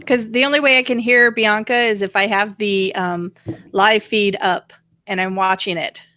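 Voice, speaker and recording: A woman's voice: mid-range voice, fairly even intonation, moderate pace, bright, forceful tone, no room sound. A woman hosting a live stream in casual, unscripted chat, thinking aloud in an informal way.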